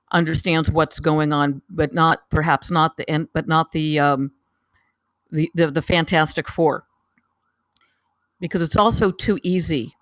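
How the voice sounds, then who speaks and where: A person giving casual spoken feedback on a script, haltingly, often repeating words and phrases. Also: mid-range voice, fairly bright tone, no room sound. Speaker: table read participant giving script feedback.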